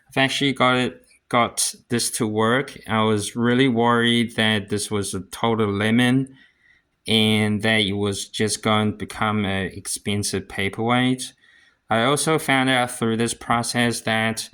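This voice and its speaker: A man with a fairly low voice talks at a very slow pace through a casual hands-on gear demonstration. He thinks aloud as he goes, in short, halting phrases, like a hobbyist DJ showing how his equipment works. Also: a bit bright, clear, resonant tone.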